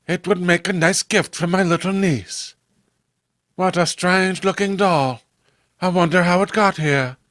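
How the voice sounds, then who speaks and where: A man's voice: high-pitched, fairly bright tone, no echo. Frightened widow, acted by a man, melodramatic horror comic delivery, close dry recording. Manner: melodramatic, fearful. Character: frantic widow recounting a killer doll's attack.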